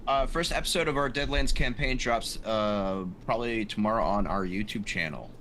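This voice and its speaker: A man's voice: lowish voice, normal speed, a bit bright. A man chatting casually as a tabletop game player, with a hesitant, dryly joking manner. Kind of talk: casual chat, character introduction. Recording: noticeable background noise.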